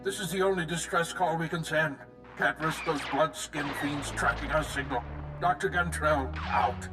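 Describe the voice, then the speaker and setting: An actor playing a besieged scientist urgently sending a distress call in a video game. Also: male voice, on the high side, moderate pace, close to an everyday voice. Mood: alarmed. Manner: alarmed, urgent. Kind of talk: scripted video game acting. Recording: distant transmission, music behind.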